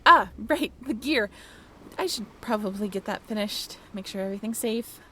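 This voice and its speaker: A woman's voice: medium pitch, lively intonation, moderate pace, sharp tone, slight background noise. A woman playing a friendly, flustered young former college roommate in a romantic audio roleplay, talking in a bashful, hesitant, giggly and apologetic way. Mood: shy, warm. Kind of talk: romantic audio roleplay, naturalistic one-sided conversation.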